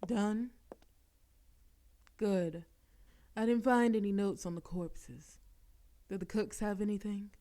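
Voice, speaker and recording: A woman's voice: medium pitch, takes it slowly, long pauses, dark tone. A woman as a teasing mafia boss lady. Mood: focused. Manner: teasing, flirtatious. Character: flirtatious female mafia boss, bold and teasing. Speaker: voice actress. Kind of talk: audio roleplay acting.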